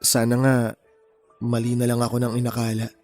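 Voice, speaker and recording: An actor playing a brainy, introverted, considerate young man in a radio drama, speaking in a measured, gentle way. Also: medium pitch, completely flat delivery, faint music behind, lightly acted. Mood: awkward, apologetic.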